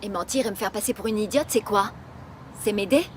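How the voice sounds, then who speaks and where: A woman's voice: mid-range voice, fairly quick, a little hiss or hum, lightly acted. An actress playing a young woman singer who firmly defends her career, in a French dub. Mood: indignant.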